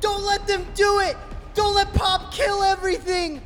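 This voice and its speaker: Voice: extremely high pitch, little pitch movement, unhurried, a bit bright, soft background music. A performer playing a whiny, demanding young boy in an old-time radio drama. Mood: furious. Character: whiny, furious young boy pleading with his father.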